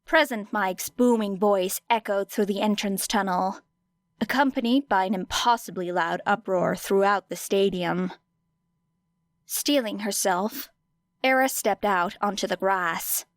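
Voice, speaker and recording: A woman reading an audiobook story dramatically, with deliberate, expressive phrasing and weighty pauses. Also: medium pitch, no room sound. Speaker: audiobook narrator. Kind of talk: dramatic scripted fiction narration.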